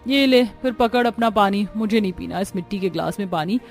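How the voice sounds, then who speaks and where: A woman's voice: mid-range voice, fairly quick, soft background music, close to an everyday voice. A woman voicing snobbish, disdainful young women in a Hindi cartoon story, complaining haughtily. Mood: disgusted, haughty. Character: snobbish, disdainful young female friends of poor girl.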